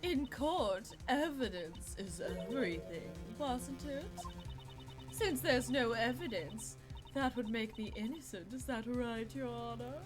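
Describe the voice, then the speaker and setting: A woman's voice: on the high side, slow, over music. A woman voicing a smug female defendant in a courtroom game, taunting as she claims innocence.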